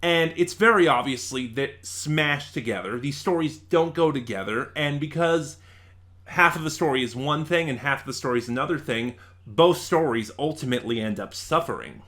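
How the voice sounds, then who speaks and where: A man's voice: mid-range voice, lively intonation, moderate pace, fairly bright tone. A man from Nevada with an American accent, hosting a review channel with casual, opinionated ranking commentary and an informal, emphatic delivery. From Nevada, United States.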